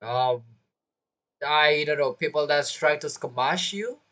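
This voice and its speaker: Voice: medium pitch, slow pace, fairly bright tone. A streamer giving casual gameplay commentary, joking and teasing as they talk back to characters.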